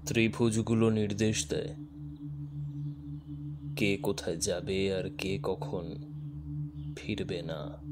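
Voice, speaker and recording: A mysterious young investigator in an audio thriller, low-pitched and deliberate. He is calm, cryptic and quietly menacing, measuring out each phrase over clearly noisy ambience. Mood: ominous. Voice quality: deep voice. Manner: slow, measured, enigmatic delivery. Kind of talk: audio drama acting.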